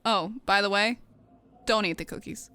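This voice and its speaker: A woman's voice: medium pitch, normal speed, sharp tone, clear, resonant tone, close to an everyday voice. A woman voicing a sassy young female pegasus pony in an audio story, with a wry, informal delivery. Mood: teasing. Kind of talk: scripted audio story acting.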